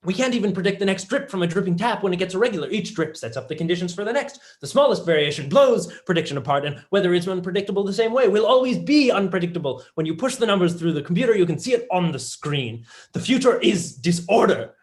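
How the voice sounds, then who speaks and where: A young man playing a passionate, blunt, excitable mathematician in a staged play reading. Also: high-pitched, fast talker. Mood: elated. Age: young adult.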